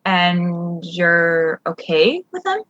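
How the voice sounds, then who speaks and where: A woman's voice: on the low side, very animated, drawn-out words, fairly bright tone, dull audio, heavily acted. A woman playing a kindly, busy commander in a sci-fi radio drama, talking in a casual, reassuring way. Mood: reassuring, curious.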